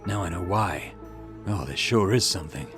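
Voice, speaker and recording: Brief: gruff, steady male police boss for a visual novel, acted by a male player, low-pitched, music underneath. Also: moderate pace, lightly acted. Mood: grave, calm. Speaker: let's player voicing game dialogue. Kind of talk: visual novel dialogue read aloud.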